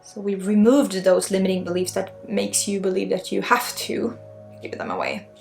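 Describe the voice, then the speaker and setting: Creaky-voiced Swedish woman guiding ASMR healing. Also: mid-range voice, expressive, normal speed, darkish tone. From Sweden. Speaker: energy healer and ASMR host. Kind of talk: ASMR spiritual healing session, unscripted. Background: faint music.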